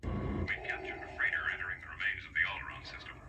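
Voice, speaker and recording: Forceful, low-voiced military officer. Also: male voice, fast talker, soft background music. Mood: urgent, formal. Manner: clipped, formal reporting. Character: stern male military officer reporting to his commander in a space film. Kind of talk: film dialogue. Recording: film soundtrack played from a record.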